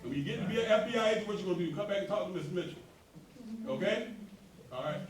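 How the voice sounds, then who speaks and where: A man presiding over a neighborhood meeting, talking emphatically and working up to near shouts as he urges the room. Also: high voice, animated, very fast, background noise. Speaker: association president hosting a meeting. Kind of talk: animated speech to a community meeting. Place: community meeting hall. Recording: roomy hall, mic from a distance, faint ambience.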